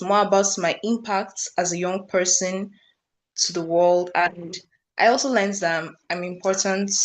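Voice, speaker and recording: Nigerian teen girl, student intern doing a little thank-you speech, lowish voice. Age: 16, teenager. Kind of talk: short testimonial speech.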